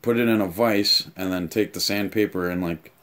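A man in his thirties giving a casual, offhand unboxing and review, explaining things haltingly in a room at home. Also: low-pitched, fairly even intonation, a bit bright, a little hiss or hum. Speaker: tool reviewer and vlogger. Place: small home room, indoors.

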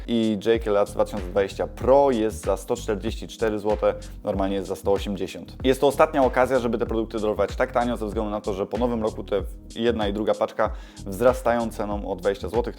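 A man with a lowish voice talks casually to his viewers as a video creator. He explains things informally and rephrases himself along the way. Soft music plays faintly behind him. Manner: informal, self-correcting, explanatory. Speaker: YouTube creator and host. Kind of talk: casual vlog update to camera.

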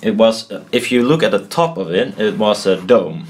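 A man explaining a homemade build informally at a desk, thinking aloud, blunt and halting, often correcting himself. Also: fairly low pitch, expressive. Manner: halting, self-doubting, trailing off and correcting himself. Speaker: maker explaining a DIY build. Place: indoors at a desk.

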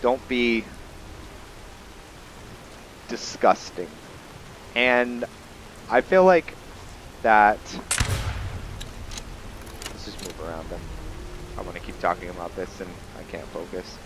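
A man with a fairly low voice talks very slowly through his roleplay game. He muses and halts as he thinks aloud, reflecting as he plays. Faint rain and thunder from the game sit behind him. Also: background noise. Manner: musing, halting, thinking aloud. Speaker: gamer narrating a roleplay playthrough. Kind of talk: unscripted reflective gameplay commentary. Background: game ambience of rain and thunder.

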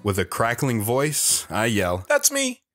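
A man's voice: medium pitch, wildly swooping pitch, a little slow, loud music behind the voice. A man playing a wistful radio guest telling the story of his youth in an audio drama. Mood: reminiscing.

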